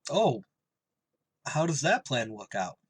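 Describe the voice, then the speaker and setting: A man's voice: mid-range voice, big pitch swings, slow, breathy edge, no room sound, clean studio sound, full character voice. A man playing a cheerful, dim-witted tavern regular, goofy and earnest, in an old-time radio comedy. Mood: earnest, puzzled.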